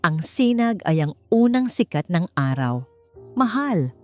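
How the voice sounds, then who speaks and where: Woman reading as gentle, mellow-voiced devoted husband. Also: fairly low pitch, sing-song, measured pace, faint music behind. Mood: soft, loving. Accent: Filipino. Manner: gentle, earnest, murmuring. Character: tender, devoted husband and father.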